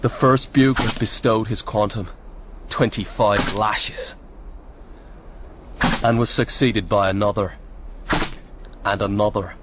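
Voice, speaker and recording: Low-voiced, sombre soldier. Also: male voice, steady tone, noisy background. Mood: grave, haunted. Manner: grave, measured, old-fashioned storytelling. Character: sombre Irish soldier sergeant, weary eyewitness storyteller. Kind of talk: radio drama acting and narration.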